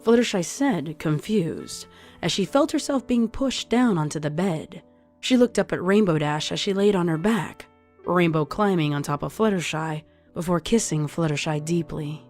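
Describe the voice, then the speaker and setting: Casting: female story narrator, lowish pitch, reading scripted fiction over music. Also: normal speed, a little mellow. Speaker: narrator, fan fiction reader. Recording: music under the voice.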